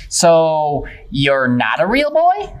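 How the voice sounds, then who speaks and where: A woman's voice: deep voice, wildly swooping pitch, slow pace, heavily acted. A woman playing an eager, naive young fisherman in a children's puppet show, earnest and wide-eyed. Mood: curious.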